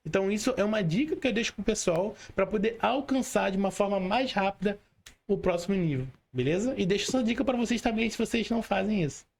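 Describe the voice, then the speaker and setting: A woman's voice: medium pitch, lively intonation, quick pace, vocal fry, darkish tone. A woman with a Brazilian accent hosting a podcast, explaining things conversationally and didactically, posing questions and answering them herself. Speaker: podcast host and trainer. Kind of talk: conversational teaching, interview.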